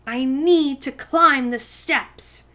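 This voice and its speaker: A woman acts out a young girl activist from a storybook, defiant and determined. The voice is raised high and loud, and each line is declared with emphasis. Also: drawn-out words, full character voice.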